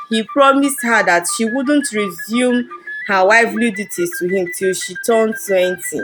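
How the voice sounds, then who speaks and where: A woman's voice: mid-range voice, low-quality recording. A woman working as a storyteller, narrating a scripted folktale.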